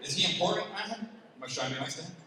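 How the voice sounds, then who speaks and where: A Palestinian man doing a lively stand-up set, teasing the crowd and jumping up in pitch when excited. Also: very fast. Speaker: stand-up comedian. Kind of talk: stand-up comedy set at a gala. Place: large banquet hall. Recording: far-off mic in an echoey banquet hall with noise.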